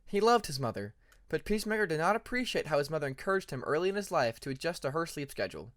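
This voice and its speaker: Lightly pitched man narrating a story. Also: sing-song, quick pace, dry recording, clean studio sound. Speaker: audiobook narrator.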